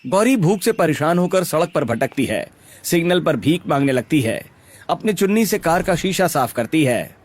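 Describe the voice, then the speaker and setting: Lively higher-pitched male story narrator. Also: normal speed. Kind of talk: scripted cartoon story narration.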